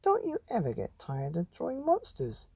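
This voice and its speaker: A man voicing a gently prying, fretful mother with lilting, coaxing questions in a children's storybook. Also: very high voice, wildly swooping pitch, normal speed, gravelly, breathy edge, no echo, pitched above the performer's own voice, full character voice.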